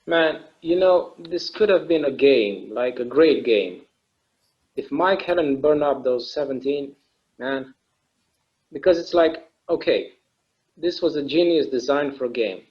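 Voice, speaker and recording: A man acting a weary, wistful game obsessive in a casual, halting conversational style at a table read. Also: a little high, muffled recording, lightly acted. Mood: wistful, relieved.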